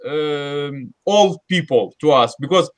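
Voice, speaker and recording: A man's voice: on the high side, lively intonation, takes it slowly. A man with a Russian accent, speaking English as a second language in a casual group chat, hesitant with many fillers and restarts. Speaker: host.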